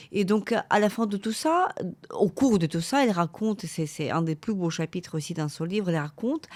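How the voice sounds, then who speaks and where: Animatrice à la voix grave et douce. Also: female voice, fairly low pitch, darkish tone. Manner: prompting, completes the guest's sentences. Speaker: podcast host. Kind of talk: interview conversation.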